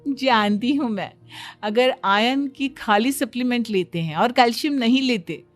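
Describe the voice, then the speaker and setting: Know-it-all young bahu for a cartoon story, female performer: higher voice, breezy confidence, explanatory. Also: unhurried, soft background music, lightly acted. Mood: self-assured. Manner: self-assured, explanatory. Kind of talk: Hindi cartoon story dialogue.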